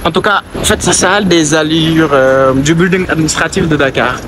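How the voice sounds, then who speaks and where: A man's voice: a little high, moderate pace. Young man vlogging along noisy streets. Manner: hesitant, thinks aloud with many restarts. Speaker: travel vlogger. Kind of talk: casual walking vlog narration. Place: busy city streets outdoors. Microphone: handheld camera while walking. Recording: outdoor street noise. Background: street and traffic noise.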